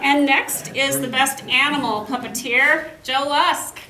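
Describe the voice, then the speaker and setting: A woman's voice: sits high, unhurried. A woman who is a judge, informally presenting awards in an echoey room. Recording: echoey room, loud background noise.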